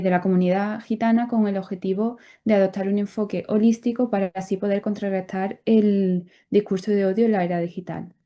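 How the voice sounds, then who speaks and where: A woman's voice: mid-range voice, dull audio. A woman presenting her nonprofit's project at an online event, earnest and hesitating often.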